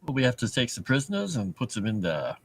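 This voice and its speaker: A man's voice: mid-range voice, huge pitch swings, husky, low-quality recording. A man playing a tabletop role-playing game, joking around with the table. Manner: slow, joking banter. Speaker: player. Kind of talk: casual tabletop chat.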